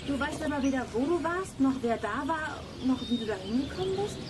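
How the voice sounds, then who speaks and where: An actress playing a brisk, sceptical young detective in a children's audio drama, questioning pointedly. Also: female voice, high-pitched, expressive, crowd noise.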